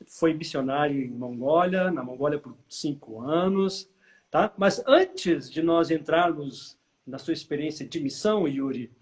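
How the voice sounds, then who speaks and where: A man hosting a live interview, chatty and prompting, often tagging his questions with a quick "tá?". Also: a little high, lively intonation. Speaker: interview host, former teacher.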